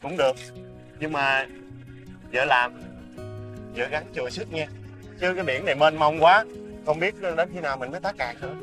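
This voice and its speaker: A man's voice: a little high, normal speed, long pauses, over music, natural voice. A man playing a young, poor, kindly peasant husband in a televised fairy tale, with a Southern Vietnamese accent and an earnest manner. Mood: caring, weary.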